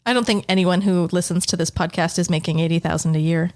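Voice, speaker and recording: Deadpan woman podcast co-host. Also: fairly low pitch, fast. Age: 30s. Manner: dry, deadpan teasing. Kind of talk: casual comedic chat.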